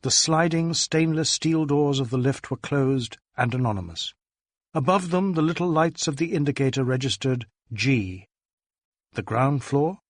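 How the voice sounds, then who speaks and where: A man's voice: mid-range voice, a little slow, no room sound, clean studio sound. A man reading a detective novel aloud as a dramatic audiobook narrator.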